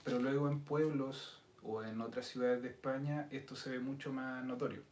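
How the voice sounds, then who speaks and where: A man in his thirties with a Chilean accent, a podcast guest explaining things in a thoughtful, measured way. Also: medium pitch, level tone, darkish tone. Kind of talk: casual chat. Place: indoor studio set with city backdrop. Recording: roomy sound with faint noise.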